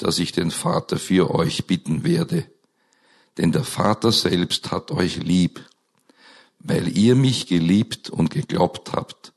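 A hoarse man with a scratchy voice, preaching a sermon in a slow, earnest way with emphatic rises, opening in prayer and reading scripture into a microphone. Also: low voice. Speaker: pastor.

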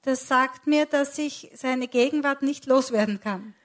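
A woman's voice: high voice, measured pace. A woman interpreting a sermon live, delivering it phrase by phrase and sometimes correcting herself.

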